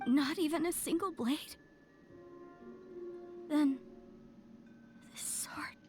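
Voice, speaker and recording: A woman's voice: high voice, very slow, music in the background. An actress playing a devout young woman who answers with pleading, disbelieving outbursts. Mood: distraught. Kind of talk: game voice acting.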